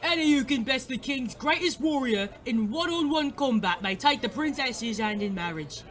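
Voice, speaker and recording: Very high-pitched medieval town crier. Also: male voice, lively intonation, moderate pace, a bit bright, a faint crowd. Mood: officious. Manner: declamatory, proclaiming. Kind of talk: comedic audio drama acting.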